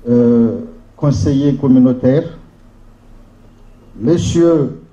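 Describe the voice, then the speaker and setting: A man addresses a conference as a local official in a formal, halting way. His voice is mid-pitched and very mellow, delivered slowly. The recording is echoey and muffled. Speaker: local intercommunal official giving a welcome speech. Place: large room at a public conference. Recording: dull, echoey conference hall PA sound.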